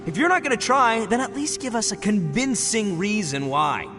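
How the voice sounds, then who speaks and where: An actor playing a brash, boastful young male oni gang boss who talks in a loud, slangy, swaggering way. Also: high-pitched, expressive, normal speed. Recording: game audio, reverb and music. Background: game music.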